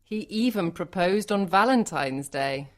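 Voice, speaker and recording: Casting: sensitive, romantic young woman for audiobook drama played by an actress, medium pitch, unhurried, widely varied intonation. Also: expressive, natural voice. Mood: happy, loving. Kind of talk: acted audiobook dialogue.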